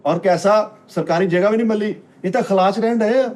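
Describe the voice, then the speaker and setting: A man's voice: high voice, a little fast, darkish tone. A man with a Pakistani Punjabi accent telling casual comic anecdotes in a teasing way, acting out what people said. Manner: teasing, quotes others' dialogue. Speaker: comedy panel member.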